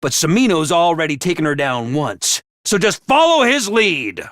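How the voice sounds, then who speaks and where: A man voicing a peppy, bossy little mascot commanding officer in a video game, with a high cartoonish voice and theatrical, jaunty drill-sergeant delivery. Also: big pitch swings, moderate pace, a bit bright, dry recording, clean studio sound. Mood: stern, serious. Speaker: voice actor for a video game character. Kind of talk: animated video game voice acting.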